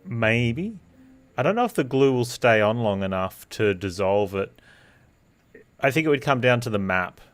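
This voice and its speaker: A man chatting casually as he streams a game, rambling along in a deadpan way. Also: medium pitch, normal speed. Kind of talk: casual live stream chat with rambling commentary. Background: game music.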